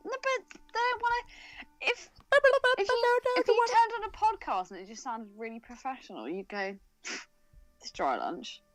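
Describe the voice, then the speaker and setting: A woman's voice: sits high, animated, moderate pace. A woman co-hosting a podcast in casual chat, with a blunt, irreverent, teasing manner. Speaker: podcast co-host.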